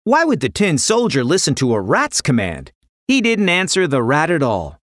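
A woman narrating a scripted children's story in an expressive, dramatic way. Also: low voice, moderate pace, no echo, clean studio sound.

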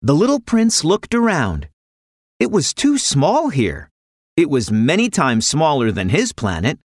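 A woman narrates a children's story with lively, varied intonation. Her delivery is expressive and scripted, in a close, dry recording. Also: low voice, a little slow, no echo.

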